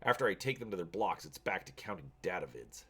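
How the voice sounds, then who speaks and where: Man playing a bold, animated young rebel hero. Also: deep voice, fairly quick, slightly rough, exaggerated voice. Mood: bluffing. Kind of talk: dramatic storybook reading.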